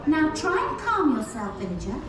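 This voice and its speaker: A woman plays an earnest, girlish young daughter in a puppet show, very high and lilting. She sounds distant, in an echoing theatre. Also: big pitch swings, moderate pace, crowd noise. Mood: patient. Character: mayor's sweet, patient young daughter Priscilla. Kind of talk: children's puppet show acting. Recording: echoey theatre from far off, audience noise.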